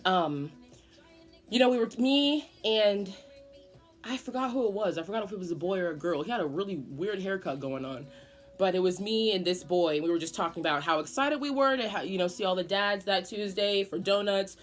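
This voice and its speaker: Animated, foul-mouthed eighteen-year-old woman. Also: medium pitch, a little fast, faint music behind. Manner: animated, dramatic, swearing often. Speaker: vlogger. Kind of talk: casual storytime.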